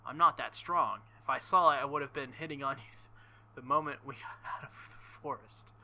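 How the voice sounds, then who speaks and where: A man hosting a visual novel playthrough, reading lines aloud and tossing in animated, sweary, joking commentary. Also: medium pitch, moderate pace. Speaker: video game playthrough host.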